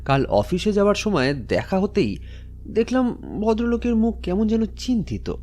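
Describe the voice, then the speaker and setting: Troubled office-going man, highish voice, lively swoops, earnest, hesitant, audio drama. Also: normal speed. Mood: pensive, puzzled. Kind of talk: Bengali audio story acting.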